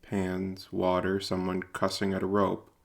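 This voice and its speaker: Male narrator for audio fiction: low, gravelly with fry, measured and deliberate. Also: monotone. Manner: measured, deliberate, literary delivery. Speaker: narrator and writer-performer. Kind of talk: scripted audio drama narration.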